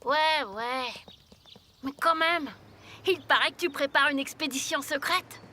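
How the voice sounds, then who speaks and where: A woman voicing an excitable, loyal schoolboy best friend in a children's cartoon, speaking colloquially with plenty of exclamation. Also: high voice, a little slow, slight background noise. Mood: excited, admiring. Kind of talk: French-language children's cartoon dub. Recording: music bed behind the voice.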